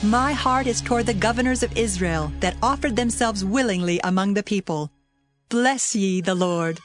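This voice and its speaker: A woman's voice: mid-range voice, normal speed, soft background music, lightly acted. A woman playing a commanding prophetess and mother of her people in a dramatized Bible reading, declaiming solemnly.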